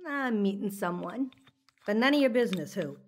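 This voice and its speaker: A woman's voice: medium pitch, lively intonation, normal speed, close to an everyday voice. An actress playing a depressed, neglectful mother in a radio drama, weary and tearful at times, curt at others.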